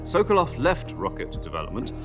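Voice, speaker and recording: An actor playing an authoritative commanding officer in a video game, briefing his agent in a deliberate, emphatic, theatrical way. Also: mid-range voice, huge pitch swings. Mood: measured, explanatory. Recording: music behind the voice. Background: music.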